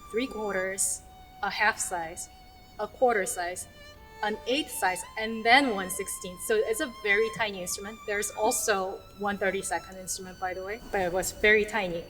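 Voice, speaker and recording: A woman's voice: medium pitch, big pitch swings, normal speed, a bit bright, poor audio. Woman musician from South Korea over music. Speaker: orchestral violinist. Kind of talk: casual scripted-outline self-introduction to camera.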